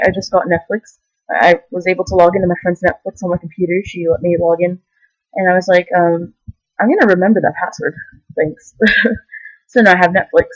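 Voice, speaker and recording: A woman's voice: lowish voice, no room sound. A young woman talks straight to her webcam in a loose, meandering way, full of ums and gushing asides. The sound is dry and close, with a dull, lo-fi webcam quality. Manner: meandering, filler-heavy, giggly enthusiasm. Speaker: vlogger. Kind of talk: rambling casual vlog. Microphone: camera mic.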